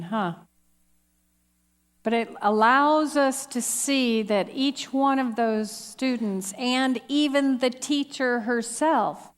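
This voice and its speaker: A woman leading a church youth group discussion, talking slowly and reflectively with a few fillers. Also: high-pitched, long reverb tail.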